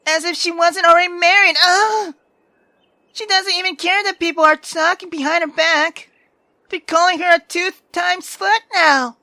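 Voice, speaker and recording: A man's voice: very high voice, sharp tone, no room sound, exaggerated voice. A man voicing a feisty, indignant young woman from a visual novel, sarcastic and dramatic. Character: feisty, sharp-tongued young woman venting her grievances. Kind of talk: visual novel character reading.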